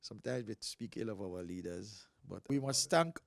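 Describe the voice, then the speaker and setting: A man in his sixties from Belize, a pastor telling stories in a relaxed interview, animated and quick to laugh. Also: fairly low pitch, creaky, no room sound, studio quality. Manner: animated storyteller, laughs often. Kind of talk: casual interview talk.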